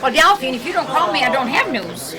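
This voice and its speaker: A woman's voice: sits high, big pitch swings, fairly quick. An older woman at a garden party, chatting and joking with friends and laughing often. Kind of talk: casual chat. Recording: noisy party crowd behind.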